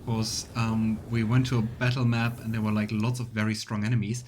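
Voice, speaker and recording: A man's voice: lowish voice, flat delivery, a little fast, a little mellow, a little hiss or hum. A man doing casual let's play commentary, chatting as he thinks aloud and reads game text. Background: faint game music.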